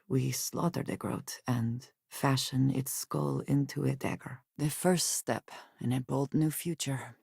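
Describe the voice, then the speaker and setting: Loyal space adventurer, female, guarded, earnest, formal measured diction, video game companion, dry studio-like sound. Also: deep voice, creaky, dark tone, breathy edge, no echo, exaggerated voice. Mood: solemn, resolute. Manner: formal, measured, precise diction without contractions.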